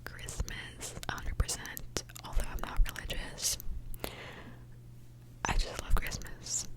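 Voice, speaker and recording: A young woman from Mexico, an ASMR creator, whispering a candid, chatty question-and-answer session. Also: takes it slowly.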